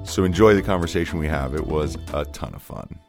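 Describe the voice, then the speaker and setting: A man's voice: very deep voice, a little fast, music in the background. A man hosting a podcast in easygoing, enthusiastic interview chat with frequent restarts. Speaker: podcast host.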